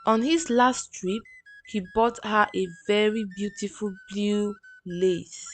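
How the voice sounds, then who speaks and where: A woman's voice: medium pitch, fairly even intonation, slow pace. A woman with a Nigerian accent telling a folktale as a scripted storyteller.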